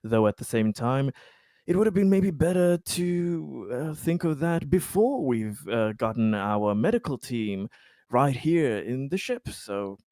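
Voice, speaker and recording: A man's voice: mid-range voice, sing-song, a little slow, mellow, dry recording, studio quality. A man improvising in character in a tabletop roleplaying game, hesitant and often stammering over his words.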